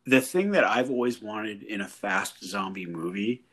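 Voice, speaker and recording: A man with a lowish voice and a slightly husky edge talks eagerly about a film he loved. He swears casually and leans hard on his emphasis. It is relaxed chat between friends. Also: moderate pace. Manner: enthusiastic, profane, emphatic. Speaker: podcast co-host. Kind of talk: casual movie review chat.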